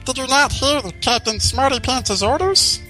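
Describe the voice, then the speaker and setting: A man puts on a bossy little girl pony general for a storybook reading. The voice is high and bright, swinging widely as it barks stern, self-important orders. Music plays softly behind it. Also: animated, moderate pace, full character voice. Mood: barking, urgent. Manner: barking orders.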